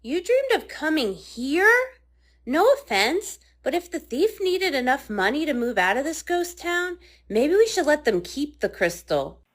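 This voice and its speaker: A woman's voice: high voice, very animated, measured pace. A woman voicing a pampered, snarky young female pony princess in a fan comic dub, sassy and teasing.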